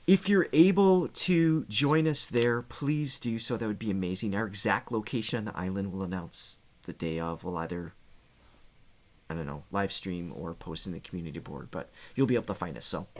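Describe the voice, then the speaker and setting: A man's voice: on the low side, normal speed, slight background noise. A middle-aged man hosting a music livestream in a casual, chatty way, friendly and joking as he talks. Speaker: host of a music reaction livestream. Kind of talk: casual livestream chat with announcements.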